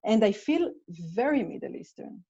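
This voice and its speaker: Israeli woman playwright, second-language English, warm low-key tone, muffled video call audio. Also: very animated, moderate pace, gravelly, husky. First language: Hebrew. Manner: thoughtful, restarts phrases, searching for words. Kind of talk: casual conversation over video call.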